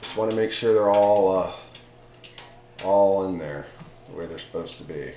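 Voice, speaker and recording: A man's voice: low-pitched, moderate pace, dark tone. Folksy man in an echoey, noisy room. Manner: folksy, rambling, conversational. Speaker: host of a radio hobby how-to channel. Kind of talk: casual hands-on demonstration talk. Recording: roomy echo, clear background noise, clicks.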